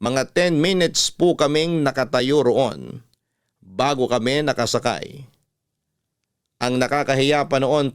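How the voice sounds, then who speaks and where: A Filipino man narrating a letter as a radio storytelling host, in a measured, heartfelt first-person style. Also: medium pitch, smooth, no echo, studio quality. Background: music.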